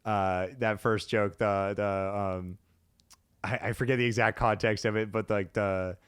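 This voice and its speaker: Male podcast guest, low bright voice, halting casual chat, dry close mic. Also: steady tone, clean tone, no room sound. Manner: halting, many fillers and restarts. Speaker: comedian guest.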